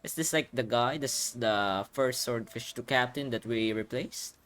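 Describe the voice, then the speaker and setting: A man's voice: mid-range voice, measured pace, fairly bright tone, clear, resonant tone. A man giving casual, rambling video game playthrough commentary, thinking aloud as he hosts. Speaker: gaming video host.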